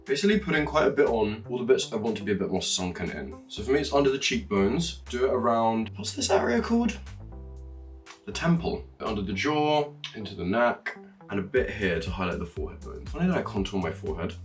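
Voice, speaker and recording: Lively fast-talking young man. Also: fairly low pitch, recorded from a distance, soft background music. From Norway. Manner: chatty and animated, swearing and laughing while explaining. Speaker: makeup vlogger. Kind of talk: casual tutorial chat.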